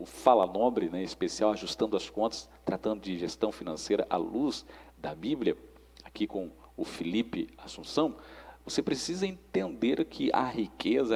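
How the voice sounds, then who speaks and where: A Brazilian man with a husky, creaky voice talks like a preacher in conversation. He instructs and exhorts, often checking for agreement. His voice trails off in long reverb. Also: fairly low pitch, a little mellow. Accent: Brazilian Portuguese.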